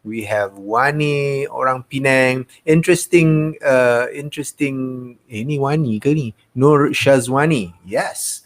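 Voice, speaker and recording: A man's voice: mid-range voice, unhurried, hardly any pauses. Chatty man with Midwestern American twang. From Malaysia. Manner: chatty, greets viewers one by one, mixes in Malay phrases. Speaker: livestream host. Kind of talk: casual livestream chat. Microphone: desk mic on a streaming setup.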